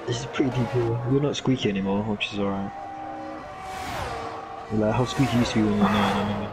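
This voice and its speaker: Young lad rambling and swearing on a gaming stream, loud music going behind. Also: male voice, lowish voice, steady tone, fast talker. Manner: rambling, swears freely, teasing. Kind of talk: casual banter while gaming.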